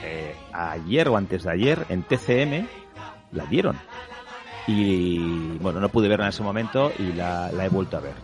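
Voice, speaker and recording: A man's voice: sits low, lively intonation, measured pace, soft background music. A man talking casually about a classic film, eager to share trivia and anecdotes.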